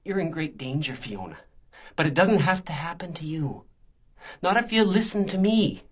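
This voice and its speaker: A man's voice: fairly high pitch, animated, normal speed. Taunting, menacing male stalker. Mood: coaxing, threatening. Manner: taunting, insinuating, mock-polite. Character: menacing, self-pitying male stalker, a spurned oddball. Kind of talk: radio crime drama acting.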